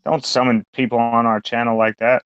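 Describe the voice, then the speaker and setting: A man's voice: medium pitch, level tone, brisk, clean tone, no echo. A man co-hosting a podcast, rambling through a casual, crude chat full of fillers and swearing. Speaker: podcast co-host. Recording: dull lo-fi sound.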